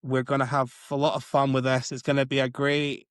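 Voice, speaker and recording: A man's voice: medium pitch, flat delivery, a little fast, smooth, no room sound, studio quality. A man co-hosting a podcast in a chatty, rambling way, filling his sentences with "like". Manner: chatty, rambling with many likes. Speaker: podcast co-host. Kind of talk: casual chat.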